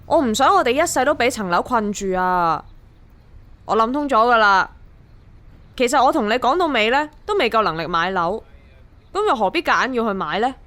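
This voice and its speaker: A woman's voice: fairly high pitch, animated, moderate pace, fairly bright tone. An actress with a Hong Kong Cantonese accent playing an earnest young woman fed up with her mortgage struggle in a radio drama. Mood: resolute.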